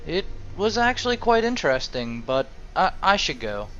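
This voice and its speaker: A man's voice: high voice, very animated, moderate pace, fairly bright tone. Guy doing a role-play let's play, narrates and voices his character, muffled audio, slight noise. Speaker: role-playing gamer and narrator. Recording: dull lo-fi audio, faint noise.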